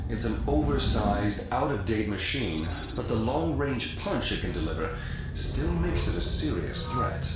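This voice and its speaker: An actor playing a smooth, businesslike mercenary broker delivering a scripted video game mission briefing. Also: male voice, mid-range voice. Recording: very roomy echo, loud music behind.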